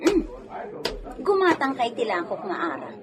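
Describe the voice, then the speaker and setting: A woman's voice: a little high, sing-song, normal speed, crowd noise. A woman playing a sassy young tavern seller with an Ilocano accent in a comedy radio drama. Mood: pert. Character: sassy unmarried young woman selling liquor at a village tavern.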